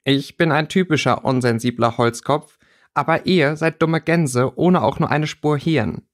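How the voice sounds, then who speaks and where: A man's voice: a little high, measured pace, close to an everyday voice. A man voicing a blunt, angry schoolboy in a storybook reading, scolding emphatically.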